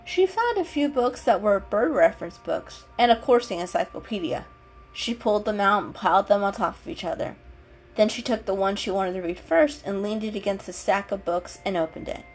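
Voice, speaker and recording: A woman's voice: mid-range voice, expressive, brisk, low-quality recording. A woman giving a dramatic storybook narration. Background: music.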